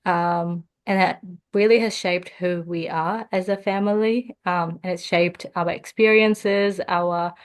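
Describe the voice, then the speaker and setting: A young woman from Jordan reflects as a guest on a podcast. Her voice sits on the low side and stays level, with little rise and fall. She talks in a measured, thoughtful way, pausing often to gather her thoughts. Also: fairly even intonation, smooth. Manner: thoughtful, frequent um fillers, measured.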